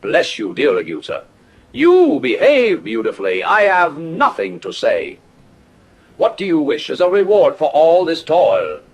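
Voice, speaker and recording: Casting: male narrator as an amused, lordly ruler of demons, indulgent, husky, with big pitch swings. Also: wildly swooping pitch, unhurried, full character voice.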